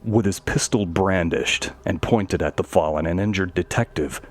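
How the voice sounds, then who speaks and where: A man tells a horror tale in a very low voice. His delivery is measured and dramatic, with each line read as scripted prose. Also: slight background noise. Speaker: storyteller. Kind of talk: scripted horror story narration.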